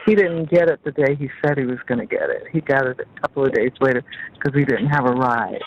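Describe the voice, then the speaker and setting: A woman's voice: very deep voice, fast, faint music behind. A woman chatting casually on a personal phone call. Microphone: telephone. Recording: muffled phone call with faint noise.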